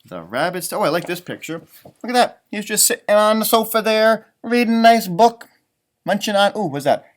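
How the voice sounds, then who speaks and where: A man's voice: high voice, fairly quick. A man who teaches, doing a children's storybook read-aloud with playful, rambling, chatty asides. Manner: animated, rambling, playful commentary.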